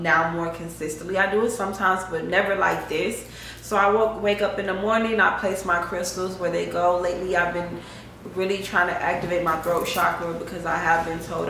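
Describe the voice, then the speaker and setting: A woman's voice: lowish voice, creaky, fairly bright tone. A young adult woman, chatting casually to camera about spiritual routines, slangy and repetitive. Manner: chatty, slangy, repeats phrases, says "you know what I'm saying". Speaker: vlogger. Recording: very echoey room, faint hiss.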